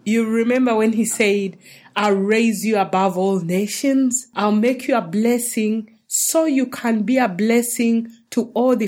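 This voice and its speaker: Slow, earnest woman teaching. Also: on the high side, darkish tone. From Uganda. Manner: earnest, encouraging, unhurried. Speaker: show host. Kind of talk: faith-based teaching talk.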